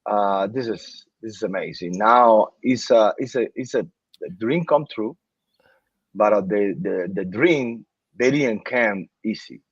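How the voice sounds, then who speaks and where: Earnest Cuban-accented man, halting speech. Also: fairly low pitch, husky, no echo. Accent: Cuban Spanish. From Cuba. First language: Spanish. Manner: halting, repeats words, earnest. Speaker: interview guest. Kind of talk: casual interview talk. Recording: dull, dry sound.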